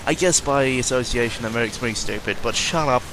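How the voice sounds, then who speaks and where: A man's voice: medium pitch, normal speed, fairly bright tone, a little hiss or hum, rough recording. A man giving a casual, rambling audio-log monologue as host, sardonic and cynical, often interrupting himself and swearing freely.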